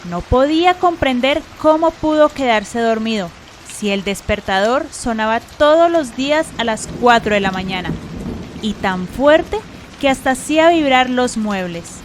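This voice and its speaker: A woman's voice: on the high side, lively intonation, a little slow. A woman giving scripted narration for a radio drama, with rain-like hiss.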